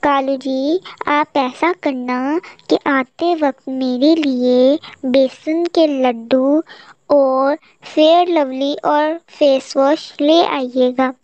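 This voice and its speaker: High-pitched vain young lady crow a woman plays. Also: steady tone, very slow, darkish tone. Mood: coquettish. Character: pretty, vain young female crow, sweetly demanding. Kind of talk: Hindi cartoon story dialogue. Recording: muffled lo-fi cartoon audio with echo.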